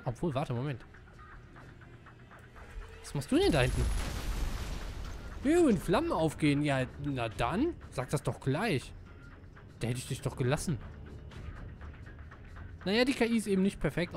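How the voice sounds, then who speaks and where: A man giving casual live gameplay commentary, excitable and slangy, dropping in English gamer words. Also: fairly high pitch, normal speed, long pauses, faint music behind. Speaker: let's player.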